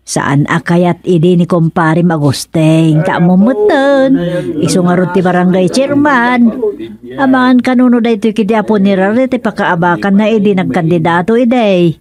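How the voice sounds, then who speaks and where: A woman's voice: mid-range voice, normal speed, a little mellow, lightly acted. An actress with an Ilocano accent playing a practical, chatty village businesswoman in a radio comedy-drama. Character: practical village woman running a funeral parlour.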